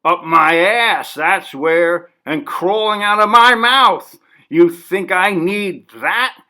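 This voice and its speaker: A man's voice: sits high, takes it slowly, pushed and bright, pitched above the performer's own voice, exaggerated voice. A man voicing an agitated, paranoid, foul-mouthed male artist in a dramatic short story reading, ranting and insistent.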